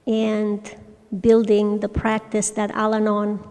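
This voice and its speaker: Woman speaking slowly in a hall. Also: mid-range voice, monotone, darkish tone, clean tone. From Romania. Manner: hesitant, with frequent pauses and restarts. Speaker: speaker at a recovery fellowship meeting. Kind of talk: personal testimony talk. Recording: long reverb from a large hall.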